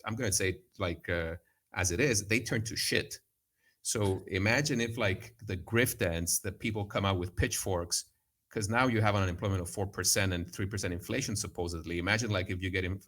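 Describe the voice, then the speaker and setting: A man from Cyprus talking markets as a podcast guest. His voice sits fairly low, and he rambles through fillers and restarts in loose, casual chat. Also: a bit bright. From Cyprus/Greece. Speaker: guest trader.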